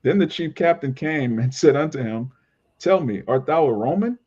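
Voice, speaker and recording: A man's voice: on the high side, lively intonation, normal speed, darkish tone, husky. Dull-sounding recording of man reading scripture. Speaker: scripture reader. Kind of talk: reading scripture aloud in group study. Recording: dull lo-fi audio.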